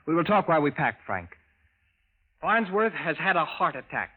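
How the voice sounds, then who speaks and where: An actor playing a resolute, grave assassin from a future underground, speaking formally in clipped sentences in old radio drama style. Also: male voice, fairly high pitch, very animated, moderate pace, narrow, tinny audio. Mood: urgent, commanding. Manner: formal, clipped speech without contractions. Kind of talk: 1940s radio drama acting.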